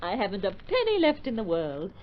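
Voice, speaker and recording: An actress playing an excitable, extravagant young opera soprano, effusive and theatrical, in an old radio drama. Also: female voice, mid-range voice, brisk. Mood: carefree, confiding. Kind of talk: 1950s-style radio drama acting. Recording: dull, noisy vintage radio recording.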